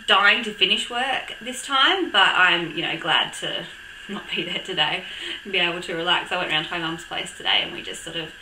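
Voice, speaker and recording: A young woman with a croaky voice from a cold, chatting casually to camera with lots of ums and little laughs. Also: mid-range voice, roomy sound, background noise. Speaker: vlogger. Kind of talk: casual chat.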